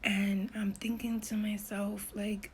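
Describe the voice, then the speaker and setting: A middle-aged woman telling a personal spiritual story in a hushed, whispery, rambling way. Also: mid-range voice, little pitch movement, slow pace, slight background noise. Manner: rambling, repeats herself.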